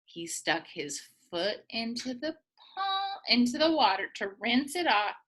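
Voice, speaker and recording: A woman reading a children's picture book aloud in a playful, expressive way, as a teacher does for young listeners. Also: on the high side, measured pace. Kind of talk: animated children's storybook read-aloud.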